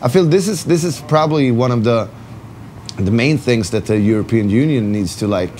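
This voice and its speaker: A man's voice: medium pitch, lively intonation, moderate pace, background noise. A man with a Swedish accent, a band member giving casual interview answers with many fillers and restarts. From Sweden.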